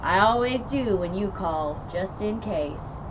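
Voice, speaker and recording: A woman's voice: medium pitch, expressive, slow, recorded from a distance. A woman doing a dry, matter-of-fact little friend. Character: calm, dry-witted young friend. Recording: muffled, with vehicle noise.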